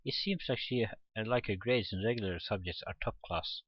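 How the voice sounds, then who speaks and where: A man's voice: on the low side, normal speed, dry recording, dull audio. A man reading a visual novel aloud for a let's play, informally, stumbling over the text and adding his own reactions. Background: visual novel game music.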